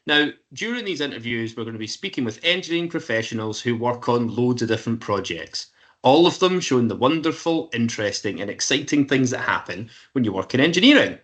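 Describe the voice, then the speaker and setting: A man's voice: mid-range voice, expressive, a little fast, fairly bright tone. A man hosting an online interview series for schools, giving an upbeat, enthusiastic introduction. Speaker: host. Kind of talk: scripted introduction for a school audience.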